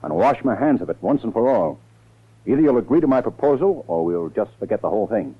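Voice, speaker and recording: A commanding, scheming lawyer in an old radio drama, heard through a thin, phone-like recording. His husky voice swings in pitch as he presses his point. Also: sing-song, fast talker. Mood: cold insistence. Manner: firm, insistent, commanding. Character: scheming, authoritative lawyer urging and pressuring others. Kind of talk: 1940s radio drama acting.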